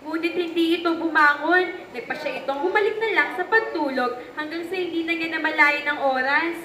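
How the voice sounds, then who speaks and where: Very high-voiced young woman storyteller. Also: brisk, a crowd in the background. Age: young adult. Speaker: puppet show narrator. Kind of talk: scripted storytelling for children. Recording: echoey room with background noise.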